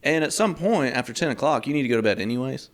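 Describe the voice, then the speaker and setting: A man in his thirties, co-hosting a podcast in relaxed, rambling chat, laughing often. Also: mid-range voice, fast. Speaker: podcast co-host. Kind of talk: casual chat.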